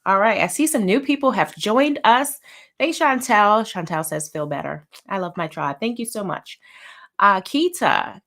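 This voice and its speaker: A woman's voice: medium pitch, animated, a little slow, a bit bright. A woman therapist hosting a weekly live show, sounding a little nasal from a head cold, chatting casually and greeting viewers by name. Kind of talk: casual live-stream talk.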